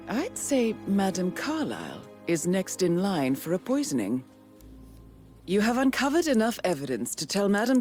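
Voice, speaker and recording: An actress playing a poised female mission handler, speaking in a composed, dry-witted way over the radio in a game. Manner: composed and wry, with a lively pitch. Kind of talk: video game dialogue.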